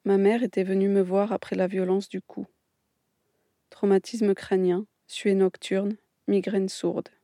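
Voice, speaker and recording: A woman reading a scripted documentary voice-over in a measured, reflective way. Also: mid-range voice, level tone, dark tone, dry recording. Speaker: documentary narrator.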